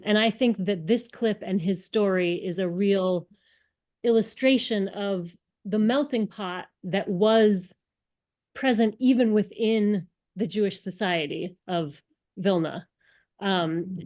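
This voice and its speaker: A woman's voice: fairly low pitch, a little mellow. A woman giving an earnest, explanatory lecture, with frequent ums. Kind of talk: synagogue lecture presentation.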